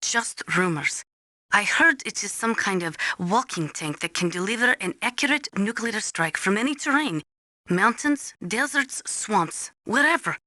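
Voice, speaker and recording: A serious female military analyst from a video game, with a bright, forceful voice at a medium pitch. She delivers technical briefings precisely and formally, in a dry studio sound. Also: expressive, unhurried, dry recording. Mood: measured. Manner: precise, instructive, formal, avoids contractions.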